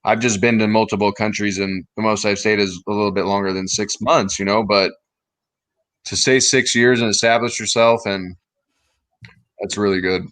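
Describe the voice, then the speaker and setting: Man, slangy co-host, blunt, fairly low voice, dry close sound. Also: fairly even intonation, normal speed, a bit bright, dry recording. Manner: blunt and slangy, swears and says "dude". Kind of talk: casual chat.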